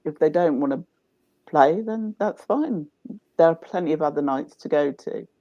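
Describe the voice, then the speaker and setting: A man's voice: on the high side, expressive, normal speed, no echo, muffled recording. A man who runs dance events, speaking passionately and emphatically in a panel discussion. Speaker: event organiser.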